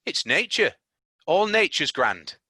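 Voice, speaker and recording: A storyteller voicing a proud, quick-tempered young girl with a high, clipped, indignant voice. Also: sing-song, pushed and bright, breathy edge, dry recording, clean studio sound, exaggerated voice. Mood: bossy. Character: haughty, sharp-tempered young girl, Mary.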